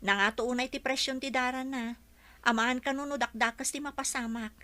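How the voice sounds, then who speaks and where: A woman plays an earnest young village woman in a radio play. Her voice is high and bright, and she speaks with concern. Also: steady tone, brisk. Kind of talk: radio drama dialogue.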